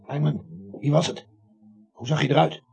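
An actor playing a placating, slightly slow-witted vigilante in a comic radio play, soothing and puzzled, with a husky voice. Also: male voice, on the high side, steady tone, darkish tone, faint music behind, heavily acted. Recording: dull lo-fi radio recording.

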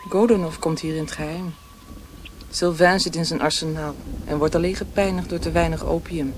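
An actress playing a worldly, languid hostess in a radio drama, calm and detached. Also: female voice, sits low, normal speed, background noise, natural voice.

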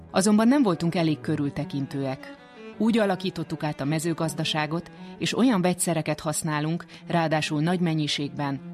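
A man presenting a science programme in scripted narration. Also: a little high.

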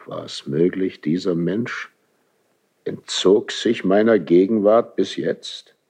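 A proud, ageing monarch in a classical verse radio play. The voice is very low and moves very slowly, with weighty pauses and an imperious, solitary gravity. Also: male voice, vocal fry, dark tone, exaggerated voice. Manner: measured, weighty, imperious delivery with long pauses. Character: grave, proud, solitary ageing king of Spain. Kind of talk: classical German stage verse radio drama.

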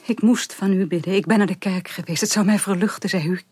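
An actress playing a despairing, quick-tempered young woman in a period radio drama, speaking in an anguished, halting way that often nears a whisper. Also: mid-range voice, a little mellow, no echo, natural voice. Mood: despairing, confessional. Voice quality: husky, breathy. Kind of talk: Dutch radio play acting.

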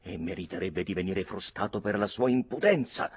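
A man's voice: low voice, lively intonation, normal speed, husky. A man voicing a big, haughty English army captain in a story, sarcastic and ceremonious. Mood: disdainful.

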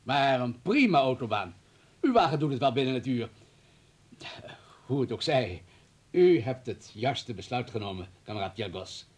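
Flustered deferential hospital boss in a radio play, wordy, husky, pitch all over. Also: male voice, big pitch swings, moderate pace. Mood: placating. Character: fussy, deferential male hospital administrator, eager to justify himself.

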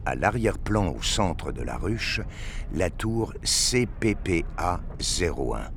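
A man's voice: sits low, very animated, slow pace, creaky, fairly bright tone, a little hiss or hum. A man reading scripted narration for an audio drama.

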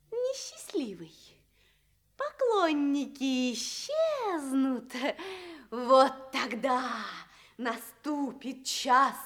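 A woman's voice: sits high, exaggerated voice. An actress playing a tender, romantic slave girl who is a princess's confidante, in a plaintive, sighing, theatrical fairy-tale radio play style. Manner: plaintive, sighing, drawn-out, breathy at moments.

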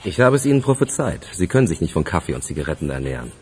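A man acting a brisk, fatherly, scolding doctor in a German radio crime drama. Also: very deep voice, very animated, a little mellow, a little hiss or hum. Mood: admonishing.

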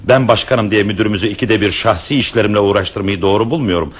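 A courteous middle-aged professor. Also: male voice, on the low side, fast, breathy edge. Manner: courteous and formal. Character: polite middle-aged professor and proud widowed father. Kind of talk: radio play acting.

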